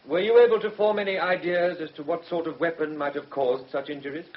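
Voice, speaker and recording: Man acting deferential British prosecuting barrister, formal measured questions, fairly high voice, muffled old radio recording. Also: steady tone, close to an everyday voice. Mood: formal, probing. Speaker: actor.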